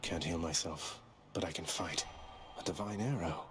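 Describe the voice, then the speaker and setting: Low-voiced wry underworld prince. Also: male voice, normal speed, fairly bright tone. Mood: dry, cool. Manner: dry, nonchalant, understated. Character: wry young male underworld prince, cocky and casual. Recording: game music behind.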